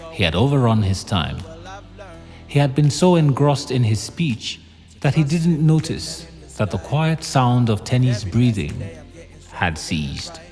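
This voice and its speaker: An actor narrating an audio drama as a dramatic storyteller. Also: male voice, medium pitch, darkish tone. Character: omniscient dramatic male storyteller. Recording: long reverb, faint music bed.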